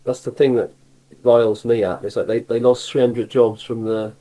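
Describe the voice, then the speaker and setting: A man with a Cornish accent chatting casually, a little halting and repeating words as he gets going. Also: mid-range voice, level tone, mellow, rough recording. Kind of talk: casual conversation.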